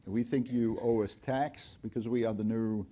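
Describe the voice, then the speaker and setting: A middle-aged man, a company finance chief speaking on an auditorium stage, explaining things in a measured way with dry humor and frequent ums. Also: on the low side, steady tone, warm tone. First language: Dutch. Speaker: company CFO, guest speaker. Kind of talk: onstage conversation. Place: auditorium stage before an audience.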